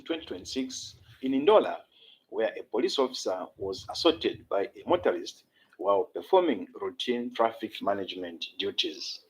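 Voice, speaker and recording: A Zambian man delivers a formal prepared statement as an official spokesman. His voice is low, with lively, emphatic intonation that moves widely in pitch. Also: low-quality recording. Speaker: police spokesman.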